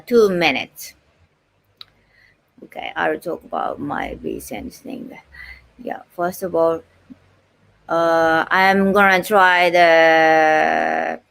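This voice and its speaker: A middle-aged woman with a Japanese accent, a livestream host reading aloud and chatting casually in a halting, unhurried way. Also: fairly low pitch, creaky, fairly bright tone, clean tone. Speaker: livestream host, voice-over artist.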